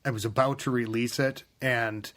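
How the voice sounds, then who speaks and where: Guy cohosting a gaming podcast, middle pitch, keeps trailing off and restarting. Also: steady tone, moderate pace, fairly bright tone. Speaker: podcast co-host. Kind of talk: casual chat.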